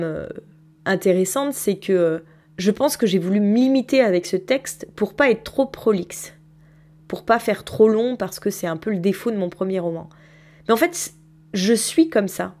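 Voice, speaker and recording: A woman in her thirties talks through her novel-in-progress in a relaxed audio diary. She is reflective and thinks aloud, with a voice pitched in an ordinary middle range. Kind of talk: spontaneous spoken diary.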